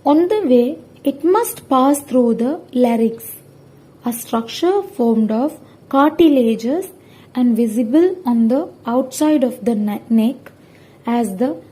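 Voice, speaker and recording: A young woman, a student presenter, reading out a scripted lesson in a careful, halting, word-by-word manner. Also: high-pitched, expressive, dark tone, breathy edge, low-quality recording. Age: young adult.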